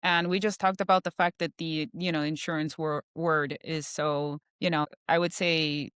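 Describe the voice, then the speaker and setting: A woman guest chatting casually in an interview, talking freely and filling pauses with "you know". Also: deep voice, flat delivery, a bit bright, clear, resonant tone, no room sound, clean studio sound. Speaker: guest, insurance professional.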